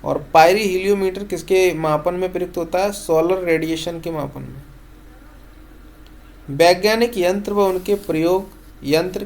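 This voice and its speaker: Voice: medium pitch, a little mellow. A teacher reads out revision questions and gives each short answer in an instructional way. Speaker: exam-prep science teacher. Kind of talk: question-and-answer revision lesson read from notes.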